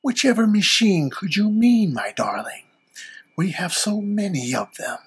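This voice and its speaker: Slow, silky, sinister parent. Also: female voice, medium pitch, very animated, husky, exaggerated voice. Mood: silky, indulgent. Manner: slow, ornate, mockingly polite speech. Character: sinister, condescending parent of a devilish daughter. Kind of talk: audio drama acting.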